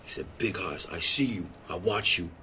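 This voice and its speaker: A man's voice: low-pitched, moderate pace, a little hiss or hum. A man in his thirties, a podcast guest, telling stories in casual chat and acting out the lines he quotes. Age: 30s. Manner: animated storytelling, acts out quoted lines.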